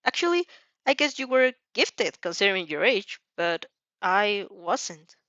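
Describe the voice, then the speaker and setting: A prickly yet bashful female shadow double, acted by a woman reading a story, halting and insecure. The pitch jumps about in a lively way, in a dry, dull lo-fi recording. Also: no echo. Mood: apologetic. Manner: halting, emotional, stammering.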